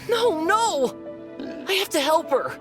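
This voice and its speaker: A woman's voice: very high voice, big pitch swings, slow pace, over music, exaggerated voice. A woman voicing a devoted, hot-tempered young male imaginary-friend creature in a video game, earnest and emotive. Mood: desperate. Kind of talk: animated video game dialogue.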